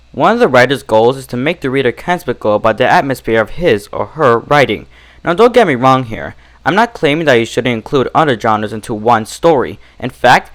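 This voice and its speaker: A man presenting scripted fan fiction readings and reviews as host. His pitch moves in a very lively way, and his delivery is deliberate and expressive. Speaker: story narrator and reviewer, channel host.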